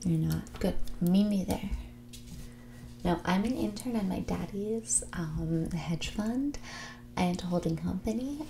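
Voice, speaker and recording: Whispery young rich girl, acted by a woman, snobbish and condescending, slow drawl, creaky fry, ASMR roleplay. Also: lowish voice, dark tone, a little hiss or hum. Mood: haughty, bored. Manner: snobbish, condescending, drawling, filler-laden valley-girl phrasing. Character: spoiled, haughty rich young heiress on a date.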